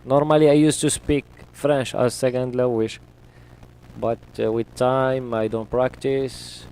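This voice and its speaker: Slow, hesitant man streaming trades. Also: mid-range voice, little pitch movement, darkish tone, slight background noise, poor audio. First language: not English. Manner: slow, hesitant, many fillers. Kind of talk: live-stream commentary while trading.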